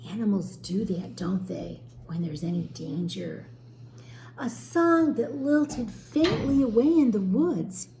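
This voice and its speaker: Slow-paced woman reading to children, echoey room. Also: mid-range voice, lively intonation, warm tone. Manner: questioning, explains words to young listeners. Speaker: teacher reading a storybook aloud. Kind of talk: expressive children's read-aloud with explanatory asides. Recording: roomy echo, faint background noise.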